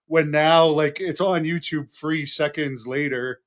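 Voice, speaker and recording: Brief: male host, eager and informed, fairly high-pitched, casual chat. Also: steady tone, unhurried.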